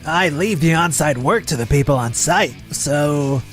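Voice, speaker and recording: An arrogant, easily rattled young manager from a manga romcom dub, acted by a man. His voice sits slightly high for a male and turns indignant and defensive. Soft music plays underneath. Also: a little slow. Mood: formal, evasive. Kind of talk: dramatized manga story dub.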